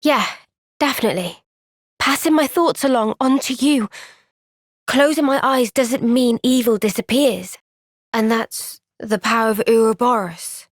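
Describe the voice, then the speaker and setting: A gentle young soldier girl. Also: a little high, measured pace, no echo, clean studio sound. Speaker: game character. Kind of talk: game cutscene voice acting. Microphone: close studio mic.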